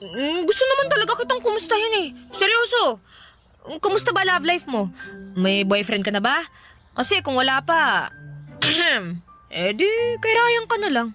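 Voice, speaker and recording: A woman's voice: very high voice, normal speed, over music. A woman playing a playful, pushy young matchmaker in a radio drama, teasing and giggly. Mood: teasing, eager. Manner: teasing, giggly, animated, persuasive. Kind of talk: Filipino radio drama dialogue.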